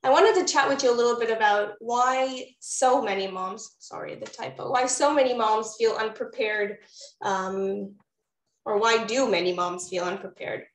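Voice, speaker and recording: A woman's voice: on the high side, moderate pace. Echoey Israeli-born woman presenting a webinar. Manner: warm, encouraging, addresses audience directly. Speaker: postpartum doula presenter.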